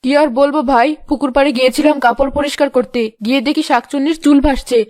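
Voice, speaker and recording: A woman's voice: sits high, steady tone, fast, darkish tone, dry recording, natural voice. A woman voicing a superstitious, easily frightened village woman in a cartoon, dramatic and fretful. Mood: anxious. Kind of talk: cartoon voice acting.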